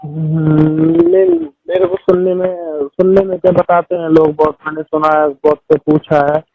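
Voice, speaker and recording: A man's voice: high voice, animated, unhurried, vocal fry, no echo. A young man on a phone call, asking questions hesitantly with lots of fillers. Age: young adult. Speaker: phone caller. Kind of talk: casual phone conversation. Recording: muffled phone call recording.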